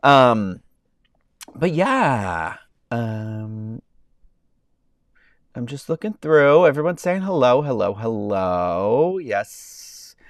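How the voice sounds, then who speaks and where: A man's voice: mid-range voice, very animated, extremely slow, long pauses, dry recording, clean studio sound. A man hosting a casual live stream, nasal and congested from a summer cold, rambling with lots of ums and restarts. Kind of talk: casual chat with live chat viewers. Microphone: clip-on mic under his shirt.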